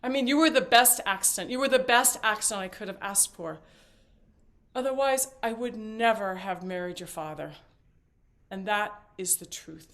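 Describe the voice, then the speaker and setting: A woman acting a talkative, evasive and devoted mother in a stage play, with a halting, theatrical delivery. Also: medium pitch, fairly bright tone, close to an everyday voice. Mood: earnest, devoted. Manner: halting, rambling, theatrical emphasis. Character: talkative, evasive mother and grandmother, fiercely devoted, just paroled.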